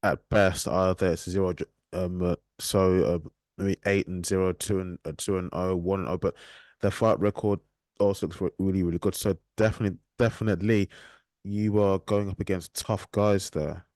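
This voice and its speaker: A man with a very low voice hosts a podcast and asks his guest questions in a relaxed, halting manner. The sound is dry and close, with no room echo. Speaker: podcast host. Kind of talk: casual interview questions.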